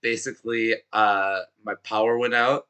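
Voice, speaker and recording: Hoarse, upbeat man hosting a music show. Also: on the low side, steady tone, moderate pace, bright, forceful tone. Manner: upbeat, pumped-up and chatty. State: hoarse, has lost his voice. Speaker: show host.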